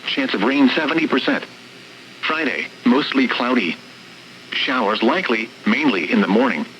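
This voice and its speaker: A man's voice: medium pitch, very animated, moderate pace, fairly bright tone. A man reading a clipped weather-style announcement, heard played through a small radio speaker. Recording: dull, noisy radio speaker playback.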